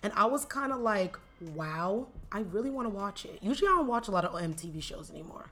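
A woman guest on a podcast, chatting in a gossipy, animated way. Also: mid-range voice, fast talker, soft background music. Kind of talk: casual podcast chat.

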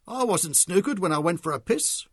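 Guy putting on a shrill drunk aussie bloke whining at the pool table, dry close sound. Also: expressive, slow, a little mellow, slightly rough, no echo, exaggerated voice. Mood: indignant. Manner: shrill, indignant. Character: short drunk man, strident and complaining.

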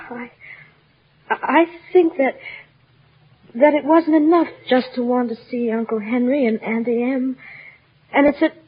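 An actress playing an earnest, tender-hearted farm girl with a tearful, halting delivery in an old radio drama. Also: sits high, husky. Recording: tinny old radio recording.

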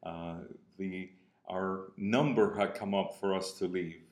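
A man in his sixties from Cuba with a Cuban Spanish accent, a business coach telling a personal story in an earnest way, slowing and softening at emotional moments. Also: low voice, fairly even intonation. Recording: roomy echo.

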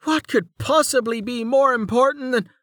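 A man's voice: high voice, takes it slowly, dry recording, voice pushed up. A man voicing an anxious, insistent male servant in a fantasy audiobook, with a worried, urgent manner. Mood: exasperated. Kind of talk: audiobook character acting.

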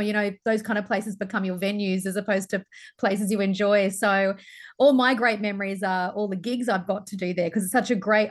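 A woman raised in Queensland, a singer speaking as an interview guest in a friendly, matter-of-fact way. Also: mid-range voice. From born in England, raised in Queensland. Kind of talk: casual interview chat.

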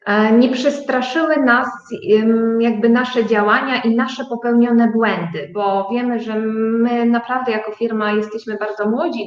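A woman guest telling her company's story in a conversational interview, speaking haltingly with drawn-out hesitations. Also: fairly high pitch. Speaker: guest, start-up co-owner. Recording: dull, roomy sound.

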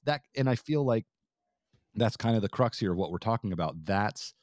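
A man's voice: fairly low pitch, lively intonation, normal speed, darkish tone, no echo, clean studio sound. A middle-aged man co-hosting a podcast in casual conversation, talking in an informal, loose, musing way. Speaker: podcast co-host. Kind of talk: casual chat.

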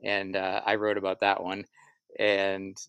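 A man talking casually as an interview guest, a musician telling stories in a halting, reflective way and often repeating his words as he goes. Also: sits low, monotone, a bit bright, smooth, dry recording, highs cut off. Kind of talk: casual interview answers, storytelling.

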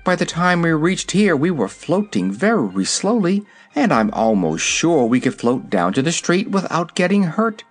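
A man's voice: on the high side, very animated, moderate pace, hardly any pauses, faint music behind, voice pushed up. A man voicing an earnest, brave, wide-eyed little girl in a storybook reading. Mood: reasoning. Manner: earnest, exclaiming.

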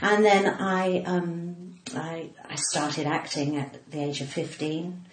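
A woman's voice: fairly low pitch, flat delivery, takes it slowly. A middle-aged woman with a cut-glass English accent, chatting casually and theatrically as she tells anecdotes in an interview. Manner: flamboyant, theatrical; giggly. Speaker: comedian and actor being interviewed. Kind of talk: casual chat, personal anecdotes. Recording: roomy echo, clear background noise.

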